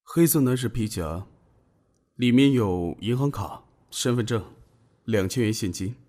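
An actor playing a terse, calm, smitten young man in a Chinese audio drama. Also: on the low side, a little slow. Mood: calm, matter-of-fact. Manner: laconic, understated.

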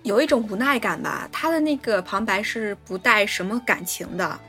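A woman's voice: mid-range voice, animated, moderate pace, fairly bright tone. Female podcast host over faint music. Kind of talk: casual film discussion.

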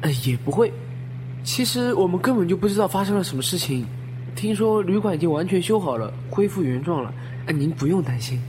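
Chatty taxi driver played by a man, highish voice. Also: lively intonation, brisk. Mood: gossipy. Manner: conversational, deferential, chatty. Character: chatty male taxi driver, friendly, gossipy. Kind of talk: Chinese audio drama acting. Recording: vehicle hum behind the voice.

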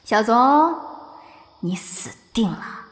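An actress playing a lively, gossipy young woman in an online game drama, exclamatory and teasing. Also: medium pitch, takes it slowly, breathy edge. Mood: teasing warning. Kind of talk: modern audio drama acting. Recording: music behind the voice.

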